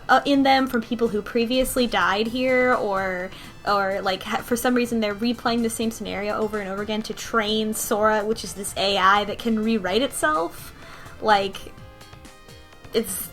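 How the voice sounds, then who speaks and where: A woman's voice: fairly high pitch, a bit bright. A woman talking casually in a group discussion about a game, rambling with many restarts and fillers. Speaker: podcast co-host discussing a game.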